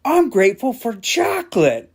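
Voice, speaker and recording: Man doing an excitable little schoolgirl, pitched way up. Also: normal speed, husky, heavily acted. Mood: excited. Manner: eager, blurting.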